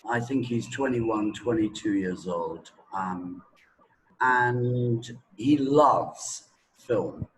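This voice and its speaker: A middle-aged man talking anecdotally as an English teacher, deliberate and emphatic, with dramatic pauses and repetition. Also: mid-range voice, slight background noise, rough recording. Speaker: English teacher being interviewed. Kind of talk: anecdotal spoken talk.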